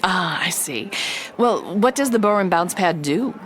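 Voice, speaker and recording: An actress playing a confident investigative reporter hosting a true-crime podcast, with theatrical, knowing scripted delivery. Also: female voice, lowish voice, animated, measured pace. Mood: politely curious. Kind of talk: scripted dramatic podcast narration and interviewing.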